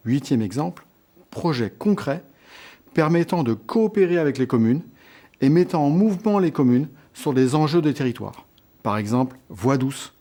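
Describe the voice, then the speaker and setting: Guy reading out a scripted lecture, mellow relaxed voice, medium pitch, measured and orderly, short phrases. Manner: measured, structured, enumerating points in short phrases. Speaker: lecturer presenting on local governance. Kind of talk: scripted instructional narration.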